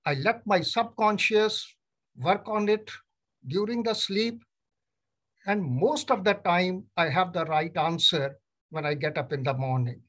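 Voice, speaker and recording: An older man from Punjab whose first language is Punjabi, speaking Indian English and telling his life story in a measured, storytelling way over a video call from a room at home. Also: high voice, fairly bright tone. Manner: measured, storytelling, acts out quoted exchanges. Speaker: neurologist guest. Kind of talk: recounting life story in an interview.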